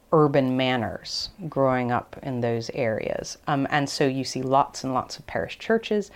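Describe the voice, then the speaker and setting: Low-voiced woman explaining as an expert. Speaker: academic expert guest, archaeologist. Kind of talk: conversational explanatory interview.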